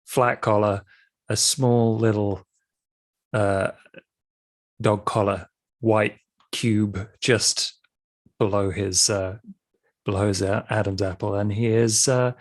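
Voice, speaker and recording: A man playing a tabletop roleplaying game, describing his character in a halting, deliberate way. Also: on the low side. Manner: halting, deliberate, many hesitations.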